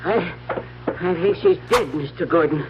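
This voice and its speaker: An actor playing a well-meaning, simple, obliging caretaker in an old-time radio mystery, hesitant and earnest. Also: male voice, fairly high pitch, lively intonation, unhurried. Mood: shaken, earnest. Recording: muffled, noisy old radio recording with hum.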